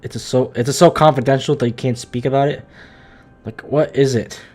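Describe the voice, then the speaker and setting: A man's voice: mid-range voice, steady tone, a little fast, darkish tone. A man livestreaming a video game with casual, slangy, emphatic commentary, often stumbling and repeating himself.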